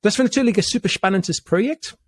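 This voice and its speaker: A middle-aged native English speaker from New Zealand with a New Zealand accent, talking about himself as an interview guest, searching for words and slipping in English. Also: male voice, high voice, fast talker, no room sound. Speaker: podcast guest, software testing entrepreneur. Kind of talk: conversational interview, telling his career story.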